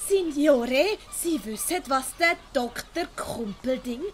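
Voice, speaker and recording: Woman acting a firm, high-voiced young lady. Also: animated, slow, a little hiss or hum. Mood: admonishing. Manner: scolding, polite. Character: firm, caring, beautiful young woman Colombina. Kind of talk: comedic audio play acting.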